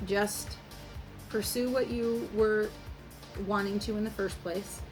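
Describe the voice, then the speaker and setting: A woman's voice: mid-range voice, steady tone, takes it slowly, rough recording. Informal woman giving reassuring advice over music. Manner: informal, reassuring, swears freely. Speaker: tarot reader. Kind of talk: casual spiritual advice monologue, speaking directly to the listener.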